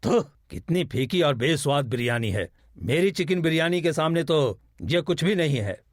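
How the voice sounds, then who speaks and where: A man's voice: a little high, lively intonation, moderate pace, dry recording, studio quality. A man voicing a greedy, boastful crow who sells biryani in a cartoon moral story, exclaiming and self-satisfied. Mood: indignant.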